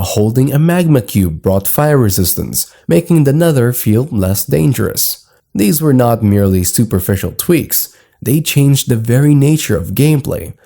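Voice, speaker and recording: A man's voice: medium pitch, vocal fry, dark tone. A man reading scripted narration as the narrator of a gaming explainer video.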